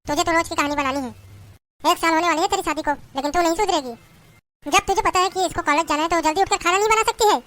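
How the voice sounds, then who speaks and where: A woman's voice: very high-pitched, quick pace, a bit bright. A woman playing a harsh middle-aged mother-in-law who berates and taunts her daughter-in-law in a family drama. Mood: scolding.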